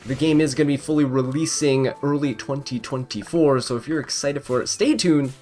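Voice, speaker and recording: A man's voice: medium pitch, a little fast, darkish tone. A man giving casual, upbeat commentary on a video game, reacting aloud as he plays. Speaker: gaming content creator. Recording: game music behind voice. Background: video game music.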